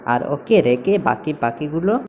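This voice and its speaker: A woman's voice: deep voice, animated, measured pace, darkish tone. A woman narrating a scripted children's story as a storyteller, over a noisy background. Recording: noticeable background noise, ambience.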